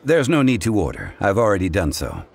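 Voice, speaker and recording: An actor playing a refined, composed gentleman scholar in a video game, speaking formally with eloquent, measured courtesy. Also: lowish voice, wildly swooping pitch, creaky, soft background music, full character voice. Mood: calm, explanatory.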